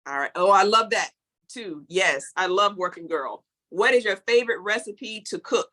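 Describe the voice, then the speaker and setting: Podcast host: woman, bright forward tone, medium pitch, casual questionnaire delivery. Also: moderate pace. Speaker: interview host. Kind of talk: casual questionnaire-style interviewing.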